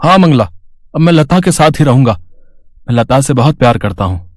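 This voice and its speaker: A man's voice: mid-range voice, expressive, moderate pace, a little mellow, dry recording, studio quality, close to an everyday voice. A man playing a humble, earnest but fickle street-vendor husband in a moral story. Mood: firm.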